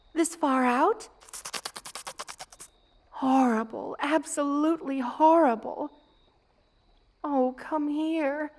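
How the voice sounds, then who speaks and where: A woman playing a motherly, regal spider queen who is gentle and protective, in a tender ASMR roleplay. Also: high-pitched. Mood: shocked concern, then soothing. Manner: tender, cooing, doting, with regal commands.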